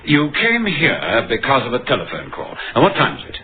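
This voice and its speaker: An actor playing a composed, probing British police inspector, formal and dryly authoritative, in an old-time radio drama. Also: male voice, mid-range voice, wildly swooping pitch, normal speed, background noise. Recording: muffled old radio broadcast recording.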